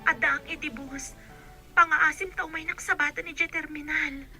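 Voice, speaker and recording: Lady playing anxious wary young ilocano village girl, high pitched, radio drama. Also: steady tone, normal speed, pushed and bright, soft background music, full character voice. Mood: pleading.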